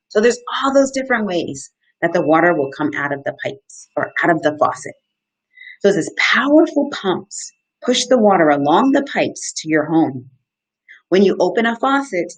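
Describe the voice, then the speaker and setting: A woman in her thirties reading aloud to children as an educator, pausing to ask questions and explain in simple, encouraging terms. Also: on the low side, fairly bright tone, poor audio. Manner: simple, interactive, encouraging teacher talk. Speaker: outdoor educator hosting a children's story time. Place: small indoor room. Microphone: webcam at desk distance, live video stream.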